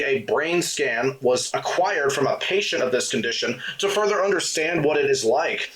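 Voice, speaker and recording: A man's voice: fairly high pitch, rough recording. A man playing a formal TV news anchor. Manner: formal, even newsreader delivery. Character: male TV news anchor, composed and businesslike. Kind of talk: scripted news report.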